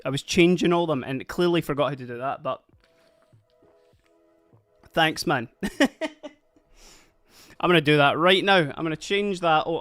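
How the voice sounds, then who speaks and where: A man's voice: a little high, a bit bright, no room sound. Chatty Scottish man streaming. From Scotland (Dundee). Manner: chatty, rambling, laughs often, talks while multitasking. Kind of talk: casual livestream chat. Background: faint background music.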